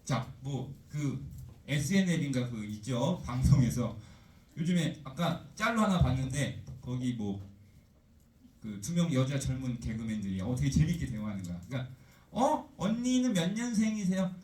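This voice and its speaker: Guy teacher lecturing, chatty and animated, asking the class stuff all the time, echoey room, mic a bit far off. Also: mid-range voice, moderate pace. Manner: chatty, animated, full of rhetorical questions.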